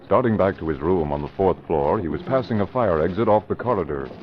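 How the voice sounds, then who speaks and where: Man, radio drama narrator, very low voice, dull old broadcast with music. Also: lively intonation, fairly quick. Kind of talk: scripted narration.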